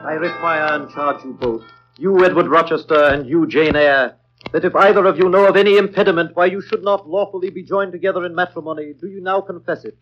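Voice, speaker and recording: A man's voice: on the high side, brisk, lightly acted. An actor playing a formal, ceremonious clergyman in a 1930s radio drama. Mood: solemn. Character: solemn, formal clergyman officiating at a wedding. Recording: muffled vintage 1930s radio recording, faint music.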